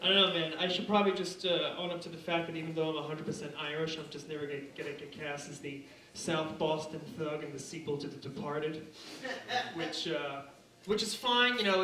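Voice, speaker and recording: A man's voice: a little high, sharp tone. A man in his thirties doing a stand-up comedy routine, theatrical, with mock-formal flourishes. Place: small dark comedy bar. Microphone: handheld stage microphone. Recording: roomy club sound, distant, audience noise. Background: club audience.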